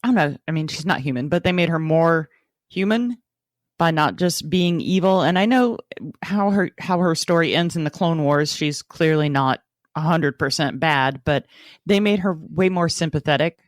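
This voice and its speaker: A man's voice: on the high side, normal speed, no echo, clean studio sound. A man co-hosting a podcast, talking casually through a book discussion. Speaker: podcast co-host.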